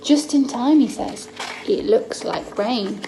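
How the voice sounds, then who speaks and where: A woman's voice: a little high, creaky, low-quality recording. A young woman hosts a children's story time in a lightly raised register. She takes her time, stretching words expressively for very young listeners. The homemade recording has some noise behind her. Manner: expressive, drawn-out storytelling aimed at small children. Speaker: children's Bible story host. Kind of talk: reading a picture-book story aloud to young children.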